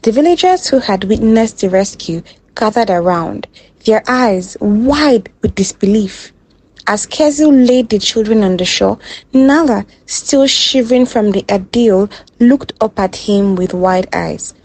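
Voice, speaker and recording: A woman storyteller reading a scripted folktale in a dramatic, measured way. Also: mid-range voice, expressive, darkish tone, poor audio.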